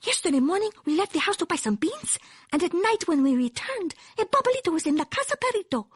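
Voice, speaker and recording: Lady playing a teeny storybook chihuahua guy, squeaky high, all worked up, rhymey. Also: very animated, fast talker, dry recording, studio quality. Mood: alarmed. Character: excitable, dramatic tiny male chihuahua.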